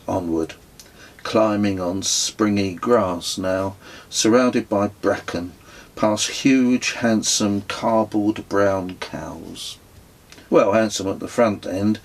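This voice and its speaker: Slow, gravelly man reading his travel memoir. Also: fairly low pitch. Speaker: author narrating own travel memoir. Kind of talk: scripted audiobook narration.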